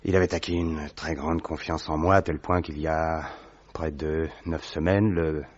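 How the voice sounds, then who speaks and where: A man's voice: a bass voice, steady tone, moderate pace, no room sound. An actor in his thirties playing a recovering young diplomat who speaks politely and earnestly in a vintage radio drama. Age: 30s. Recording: dry, dull old radio recording.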